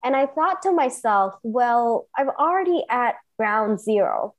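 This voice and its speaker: A woman in her thirties who grew up in Singapore speaks as an interview guest, recounting her own path with earnest enthusiasm. Her voice sits a little high, and she leans on emphatic repetition as she tells her story. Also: animated, unhurried. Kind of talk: personal storytelling in conversation.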